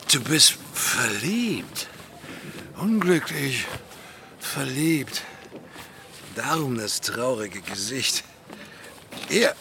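Gravelly drunken sailor. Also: male voice, medium pitch, sharp tone, slight background noise, exaggerated voice. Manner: slow, rambling, muttering. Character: drunken old sailor, jovial, philosophizing, male. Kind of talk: radio play acting.

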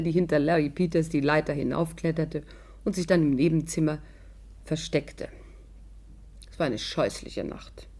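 A low, dark-toned woman's voice narrates a vintage radio mystery. She is wry and confiding, looking back ruefully on her own adventures. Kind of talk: 1950s German radio drama narration.

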